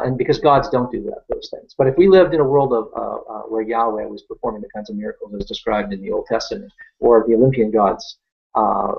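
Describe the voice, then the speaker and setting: A middle-aged French Canadian man, a native French speaker, hosting a live Q&A and answering viewer questions in a thoughtful, unhurried way. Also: mid-range voice, gravelly, dull audio. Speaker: Q&A host.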